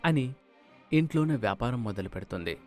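A man's voice: medium pitch, animated, fairly quick, darkish tone, soft background music. A man narrating a scripted moral story as the storyteller.

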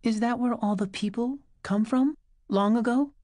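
A male narrator plays a demanding young woman on a hard journey. The voice is pitched well up from his own and dark in tone, with impatient, questioning delivery, recorded dry and close. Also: moderate pace, dry recording. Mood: sharp questioning. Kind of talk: audiobook character voice.

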